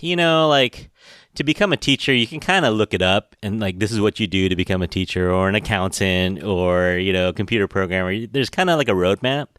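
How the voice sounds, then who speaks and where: A man's voice: on the low side, a little fast, fairly bright tone, clean tone, no room sound, studio quality. A man from the United States hosting a podcast, chatting casually and laughing often. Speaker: podcast host. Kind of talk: casual interview chat.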